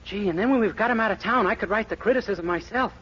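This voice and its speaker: A man's voice: high-pitched, quick pace, fairly bright tone, husky. An actor playing a meek, naive young American man with an earnest, boyish, plaintive manner in 1940s radio comedy. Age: young adult. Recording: very noisy, dull 1940s radio recording.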